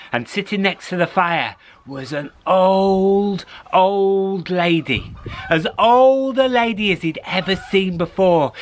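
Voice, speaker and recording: Animated male children's storyteller. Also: high voice, slight background noise. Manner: dramatic, encouraging, repeats phrases for suspense.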